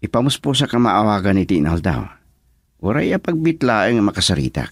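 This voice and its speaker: An actor playing a reluctant, brooding young husband in an Ilocano radio drama, with a Filipino accent. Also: male voice, lowish voice, big pitch swings, a little fast, slightly rough, no room sound, clean studio sound, heavily acted. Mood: defensive.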